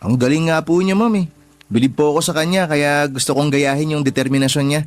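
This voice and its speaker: An actor playing a polite, eager nineteen-year-old vendor trainee in a Filipino radio drama, deferential and earnest, with a Filipino accent. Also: male voice, fairly high pitch, fairly even intonation, brisk, no echo, lightly acted. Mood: admiring, sincere. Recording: music playing behind the voice.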